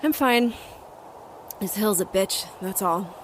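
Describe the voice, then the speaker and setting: A woman's voice: medium pitch, takes it slowly, background noise, natural voice. An actress playing a guarded, anxious woman field agent who narrates her story in an audio drama. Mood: tense, dismissive. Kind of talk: audio drama acting with first-person narration. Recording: music under the voice.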